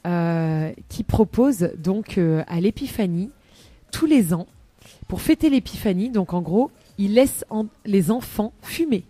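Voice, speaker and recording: A woman's voice: mid-range voice, lively intonation, measured pace, mellow, dry recording. A woman chatting on a live radio show as a columnist, rambling with many hesitations and laughing often, into a studio microphone.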